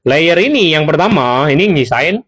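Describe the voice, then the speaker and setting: A man's voice: a little high, very animated, normal speed, fairly bright tone, husky. Chatty man with slang. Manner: chatty, addresses viewers directly, self-corrects. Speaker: seller demonstrating intercoms. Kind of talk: casual product tutorial.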